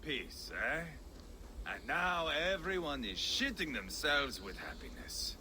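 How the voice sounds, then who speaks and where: A man acting a gruff, sardonic, grumbling Viking in a video game, with a gravelly edge. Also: a little high, slow, slight background noise, heavily acted. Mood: sullen, sardonic. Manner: sardonic, complaining, animated.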